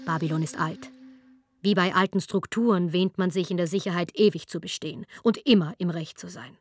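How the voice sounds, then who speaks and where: A woman narrating a German radio drama as a scripted story, in a measured storytelling manner. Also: lowish voice, a little mellow, no room sound, clean studio sound, lightly acted. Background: music.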